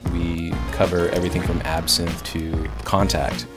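A man's voice: sits low, steady tone, normal speed. A man talking in a promotional interview as a music school instructor.

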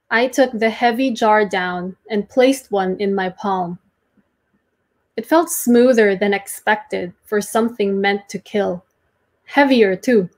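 A young adult woman giving a dramatic reading of first-person prose in a measured, deliberate storytelling manner, recorded indoors at home. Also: medium pitch. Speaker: performer reading a literary piece. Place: small domestic room, indoors.

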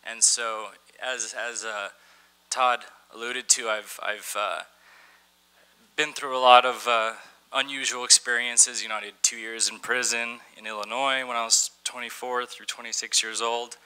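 A young man giving a conference talk from a podium in a large dim hall, halting with many fillers and restarts, and dropping in wry asides. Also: mid-range voice, flat delivery, sharp tone, clear, resonant tone. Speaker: conference speaker. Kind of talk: conference talk with some reading aloud. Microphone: podium microphone.

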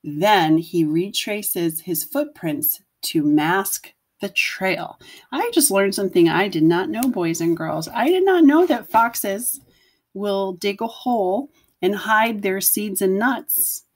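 A woman's voice: mid-range voice, animated, takes it slowly. A woman teacher reading a picture book aloud to children, explaining things simply and putting questions to her listeners.

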